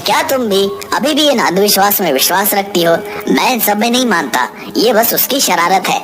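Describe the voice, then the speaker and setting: A woman's voice: mid-range voice, sing-song, moderate pace, fairly bright tone, soft background music. A woman voicing a skeptical grown son. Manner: skeptical, then indignant. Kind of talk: animated storybook voice acting.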